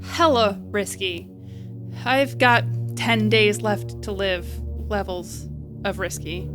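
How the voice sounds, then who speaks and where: A woman's voice: mid-range voice, slow, music in the background, natural voice. An actress in an audio drama playing a reckless, self-deprecating young woman who rambles between joking bravado and earnest pleading. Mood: earnest, flippant.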